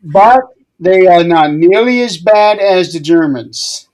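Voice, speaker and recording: Brief: unhurried male co-host, slow delivery, slightly high voice. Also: animated, darkish tone, low-quality recording. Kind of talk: casual chat.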